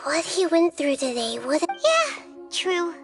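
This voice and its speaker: A woman voicing a tiny, childlike, curious fairy companion in an anime-style video game, with a squeaky, chirpy voice. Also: wildly swooping pitch, slow pace, over music, exaggerated voice. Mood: chirpy, relieved.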